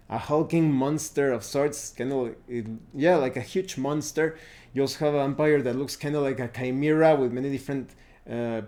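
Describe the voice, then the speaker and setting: A man with a soft, mellow voice at a medium pitch talks through a casual review as its host. He explains things informally, pausing to search for words and correcting himself as he goes. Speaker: tabletop game review host. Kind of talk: casual spoken review with recommendations.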